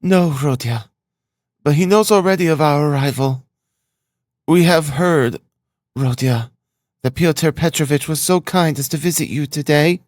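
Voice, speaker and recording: A man doing a fretful, high-voiced doting mother. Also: animated, a little slow, dry recording, clean studio sound. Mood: timid, hopeful. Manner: pleading, fretful. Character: anxious, tearful, timid, doting middle-aged mother. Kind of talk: audiobook character acting.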